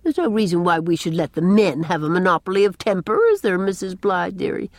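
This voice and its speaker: A woman voicing a brisk, opinionated middle-aged village spinster in a storybook, with an emphatic, folksy, tart way of talking. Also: fairly low pitch, a little mellow, dry recording, close to an everyday voice. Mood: gossipy, confiding.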